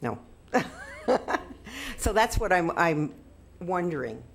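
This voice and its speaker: A woman with a very deep voice speaks at a council podium. She is earnest and searching, with a gravelly edge to her voice. Faint room noise runs behind her. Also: very animated, takes it slowly. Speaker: public commenter. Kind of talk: public comment.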